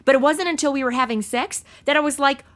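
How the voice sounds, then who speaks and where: An actress playing a flippant, sarcastic, easily bored young sidekick in a comedy audio drama, talking in modern casual slang. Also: female voice, sits high, fast, a bit bright. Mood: flippant, impatient.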